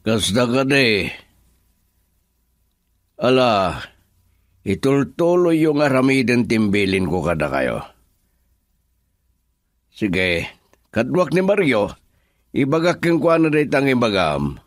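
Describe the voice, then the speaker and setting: Radio drama part: controlling boss, Ilocano accent, unhurried delivery, dry close recording. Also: male voice, medium pitch, very animated, no room sound. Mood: businesslike. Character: bossy male employer, controlling and stern.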